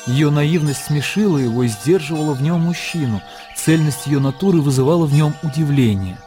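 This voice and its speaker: A man narrating a literary radio play in a measured storytelling manner. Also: fairly high pitch, warm tone. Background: music and birdsong.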